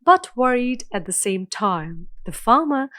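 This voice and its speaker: A woman narrating a scripted children's story as storyteller, phrasing it clearly and dramatically. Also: a little high, big pitch swings, a little slow, a bit bright, dry recording.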